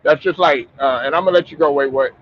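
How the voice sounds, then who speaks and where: A man's voice: on the high side, fast. A man hosting a casual sports talk stream, stuffed up and rambling, with many restarts and repeated words. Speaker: sports talk show host. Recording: muffled lo-fi stream audio with faint noise.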